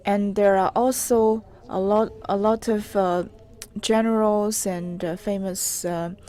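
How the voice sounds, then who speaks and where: A woman's voice: mid-range voice, steady tone, slow pace, mellow. A woman explaining local sights live, hesitant and often searching for her words.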